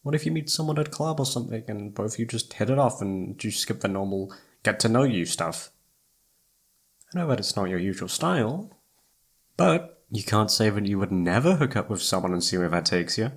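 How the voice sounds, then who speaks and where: A man's voice: lowish voice, expressive, brisk, breathy edge. A man acting as an informal, emotive young best friend who is secretly in love, in a one-sided roleplay audio drama. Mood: frank, mildly defensive. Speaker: voice actor.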